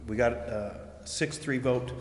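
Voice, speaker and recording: A man's voice: medium pitch, takes it slowly. American man in an echoing hall. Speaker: citizen giving public comment at a council meeting. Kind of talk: halting informal public remarks. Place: indoor council chamber. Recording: echoing council chamber with faint noise.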